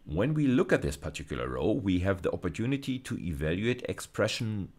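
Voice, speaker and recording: A middle-aged man giving an explanatory video lecture as a university lecturer, measured and emphatic, often checking in with short tag questions. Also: low-pitched, big pitch swings, a little mellow. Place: indoors, recorded lecture over slides.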